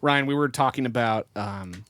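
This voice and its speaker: A man's voice: medium pitch, moderate pace, a bit bright, dry recording. A young man co-hosting a casual podcast, teasing his friends and steering the talk. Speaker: podcast co-host. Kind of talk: casual chat. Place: restaurant.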